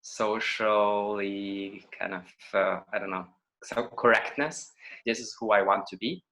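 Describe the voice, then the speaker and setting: A man's voice: fairly low pitch, fairly even intonation, unhurried, sharp tone, poor audio. A man from Israel with an Israeli accent, a choreographer and dancer answering interview questions in an introspective way, searching for his words. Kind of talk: reflective interview talk.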